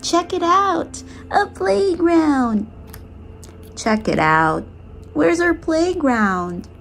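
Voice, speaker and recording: A woman voicing excitable, earnest young boys in a children's storybook, exclaiming in a childlike way. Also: extremely high pitch, extremely slow, creaky, faint music behind, pitched above the performer's own voice, exaggerated voice. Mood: playful, excited. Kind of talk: storybook read-aloud.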